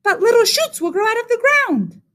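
A woman voicing a tiny, cheery, eager mouse in a storybook, squeaky and chirpy. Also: very animated, moderate pace, a bit bright, exaggerated voice.

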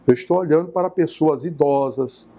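Man, Brazilian accent, middle-aged, politician, deliberate, slightly high, mellow tone. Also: vocal fry. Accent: Brazilian Portuguese. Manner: deliberate, explanatory. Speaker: state legislator. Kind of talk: interview statement.

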